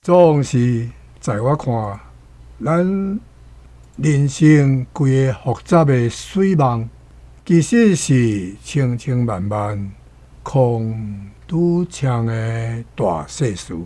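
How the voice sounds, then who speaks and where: A male actor with a Taiwanese accent playing a philosophical storyteller musing deliberately in a radio drama monologue. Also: mid-range voice, expressive, warm tone. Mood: reflective.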